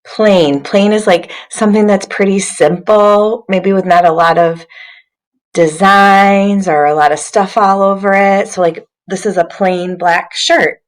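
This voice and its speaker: A woman's voice: mid-range voice, fairly even intonation, measured pace. A woman literacy coach leading an interactive read-aloud for young children, instructive, prompting listeners with questions.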